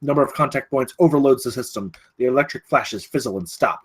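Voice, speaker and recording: A man's voice: mid-range voice, fast, slightly rough. A man reading scene directions as the narrator of a live screenplay table read.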